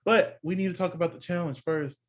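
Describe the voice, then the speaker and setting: Sassy Texan man, slightly high voice. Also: fairly even intonation, brisk. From Texas. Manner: chatty, sassy asides like "honey". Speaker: podcast co-host. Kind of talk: casual TV recap chat.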